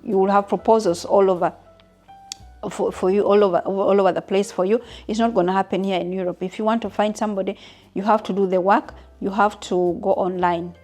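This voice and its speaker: A woman's voice: medium pitch, steady tone, brisk, darkish tone, soft background music. A woman in her fifties from Uganda, chatting casually and openly with her viewers in a question-and-answer vlog, often stopping to rephrase. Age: 50s. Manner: chatty, frequent restarts and asides to viewers. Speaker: vlogger.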